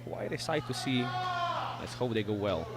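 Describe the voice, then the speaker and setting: A man doing live sports co-commentary in a relaxed, wry way. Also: medium pitch, moderate pace, gravelly.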